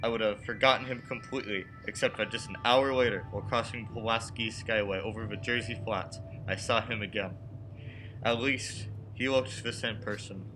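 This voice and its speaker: An actor playing a haunted man in his thirties from Brooklyn, narrating a radio play in earnest, deliberate phrases. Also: lowish voice, steady tone, background noise, natural voice. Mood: uneasy. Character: haunted 36-year-old man from Brooklyn, anxious but insisting he is sane. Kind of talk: radio play acting, first-person dramatic narration. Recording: music behind the voice.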